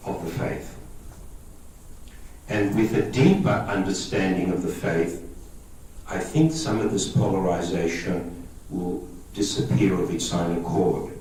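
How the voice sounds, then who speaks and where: A man's voice: on the low side, a little mellow, distant mic, slight background noise. Greek-born man talking in an echoey hall. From Australia. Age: 50s. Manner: conversational, checks in with listeners. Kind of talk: public talk to a lay audience.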